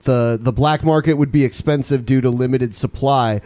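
Male podcast host, mid pitch, very dry room sound, offhand conversational delivery. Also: fairly even intonation, moderate pace, darkish tone, no echo. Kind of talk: casual chat, reading viewer comments.

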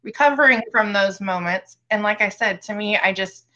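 A woman co-hosts a casual chat. She sounds reassuring and laughs easily. Her bright, forceful voice comes through a dull, lo-fi recording. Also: medium pitch, moderate pace.

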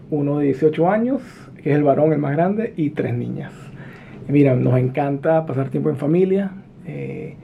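Gravelly man on a phone line. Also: fairly high pitch. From Venezuela. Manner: friendly, relaxed storytelling with frequent fillers. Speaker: interview guest, business executive. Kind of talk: conversational interview answers. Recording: muffled phone line with faint noise.

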